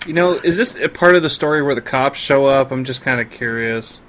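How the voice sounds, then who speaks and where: A man's voice: mid-range voice, a little fast, fairly bright tone. A man hosting a podcast in casual, joking conversation. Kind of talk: casual comic banter.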